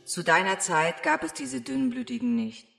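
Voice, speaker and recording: A woman's voice: on the high side, animated, moderate pace, creaky, fairly bright tone, soft background music, exaggerated voice. A woman playing a knowing, intimate female figure in a vampire audio drama. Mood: knowing, reproachful. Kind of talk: audio drama role-play dialogue.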